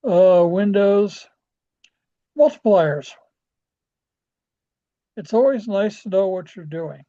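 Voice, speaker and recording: An American man giving an informal software walkthrough as a club trainer, halting and often trailing off as he rambles along. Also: sits high, expressive. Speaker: presenter training radio club members. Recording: dull, lo-fi screen-share audio.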